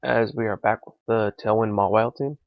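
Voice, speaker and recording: A young man with a raspy, gravelly voice that is a little hoarse from being sick. He thinks out loud through a competitive match with plenty of ums and uhs. The sound is dull and lo-fi, with almost no room around it. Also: lowish voice, steady tone, brisk, dry recording. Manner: thinking aloud, with frequent fillers. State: slightly hoarse from illness. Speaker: gaming commentator. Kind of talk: live competitive game commentary.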